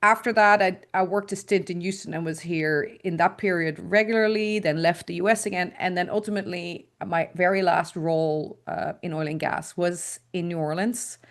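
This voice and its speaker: A woman's voice: on the low side, steady tone, moderate pace, hardly any pauses. A middle-aged woman from the Netherlands with a Dutch accent, a guest consultant talking casually and thoughtfully, with frequent ums, over a video call. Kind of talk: casual interview talk.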